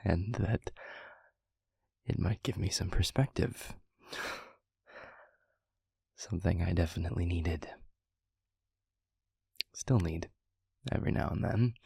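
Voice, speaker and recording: A man voicing an adult demon mentor in an intimate roleplay audio, confiding gently in the listener in a breathy, gravelly, halting murmur. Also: sits low, dry recording, clean studio sound, full character voice. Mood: wistful, confiding. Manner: hushed, halting, unhurried, confiding. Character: adult male demon mentor, reflective, gentle, quietly amused. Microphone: close microphone, dry.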